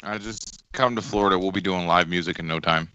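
A man's voice: low-pitched, a little fast, lo-fi sound, crowd noise. A man chatting casually about music as a co-host, slangy and skeptical, with some swearing.

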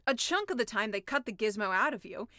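A woman voicing a sly, menacing sea witch from a storybook, with a blunt, theatrical, drawn-out delivery. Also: on the high side, animated, sharp tone, no room sound, clean studio sound. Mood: blunt, grim. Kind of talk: storybook character voice.

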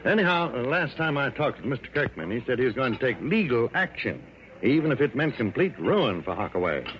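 A man's voice: mid-range voice, very animated, a little fast, lightly acted. An actor playing a fussy, gossipy American businessman in a mid-century radio drama, insinuating and indignant, then hedging. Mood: disapproving. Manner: hesitant, emphatic, insinuating. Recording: dull, faintly noisy old radio broadcast.

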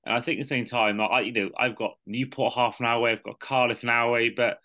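Casting note: host in his twenties, forceful tense tone, loose rambling talk. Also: mid-range voice, steady tone, fast talker. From Wales. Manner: rambling, many restarts. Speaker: podcast host. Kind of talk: casual chat.

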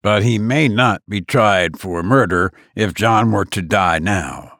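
A calm, worldly lawyer with a lowered, steady voice and a dry, cynical manner, as a male narrator puts him on. He speaks slowly and deliberately in a close, dry reading. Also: no echo, full character voice. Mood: measured. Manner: measured, cynical.

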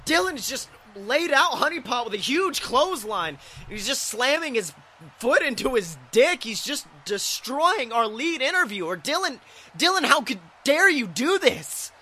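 Man acting bright, high-voiced wrestling commentator. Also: normal speed. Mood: shocked, outraged. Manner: dramatic, announcerly, easily outraged. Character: excitable, earnest male wrestling show commentator. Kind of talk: sports entertainment commentary.

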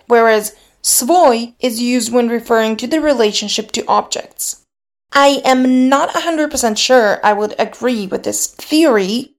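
Woman teaching grammar. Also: on the high side. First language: Serbian. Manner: measured, explanatory, switches into Slavic example phrases. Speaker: podcast host teaching linguistics. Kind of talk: scripted explanatory lesson.

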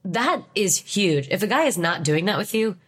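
A woman's voice: medium pitch, expressive, normal speed, a bit bright, breathy edge. Stuffed-up young woman, blunt and emphatic. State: stuffed-up nose from a cold. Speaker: podcast co-host. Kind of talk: casual chat.

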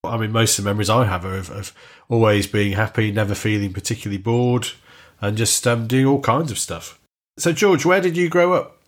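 A man's voice: lowish voice, fairly quick. A middle-aged man from Warrington, hosting and interviewing a guest in a chatty, friendly way. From Warrington, North West England. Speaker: podcast host.

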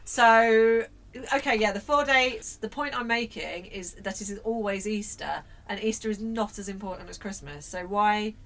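Bright-voiced chatty woman. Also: mid-range voice, normal speed. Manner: chatty, self-mocking, laughs often, swears casually. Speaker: podcast co-host. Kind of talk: casual chat.

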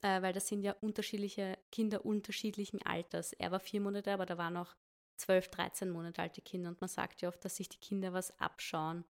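A woman co-hosting a casual parenting podcast as a mother, chatting in a lively, quick way. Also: medium pitch, creaky.

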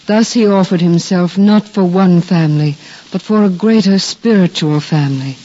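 An actress playing a devout, tender mother of Christ with solemn, measured phrasing in a 1950s radio drama. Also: lowish voice, warm tone, close to an everyday voice. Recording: dull 1950s radio recording, music behind.

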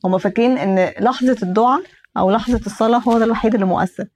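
A woman's voice: on the high side, racing, darkish tone. A young adult Egyptian woman co-hosting a podcast, speaking reflectively and sometimes restarting her words. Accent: Egyptian Arabic. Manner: reflective, restarts words when searching for them. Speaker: podcast co-host. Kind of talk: casual podcast conversation.

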